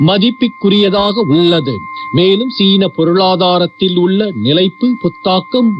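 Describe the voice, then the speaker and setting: A man reading scripted news in a formal, measured manner over a shortwave radio broadcast. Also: sits high. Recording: dull, hissy shortwave radio with hum.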